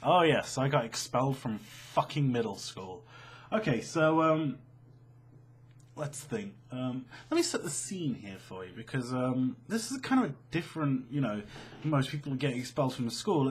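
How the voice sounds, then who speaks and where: A young British man with a slightly husky voice, telling a personal story casually in an animated, sweary, self-mocking way. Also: medium pitch, moderate pace. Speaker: online storyteller.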